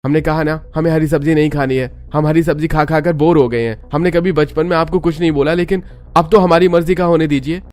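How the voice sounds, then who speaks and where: A man's voice: fairly high pitch, fairly even intonation, brisk, darkish tone, natural voice. An actor playing a defiant young adult son who is tired of his family's rules, in a cartoon family drama. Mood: insistent. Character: grown son, fed up and defiant. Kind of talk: cartoon voice acting.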